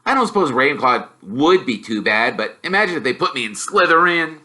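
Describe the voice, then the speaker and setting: A man voicing an excitable, blunt young wizard boy who blurts loudly and is easily made gloomy. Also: medium pitch, a little fast, voice pushed up. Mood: glum. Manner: loud, blurting, animated.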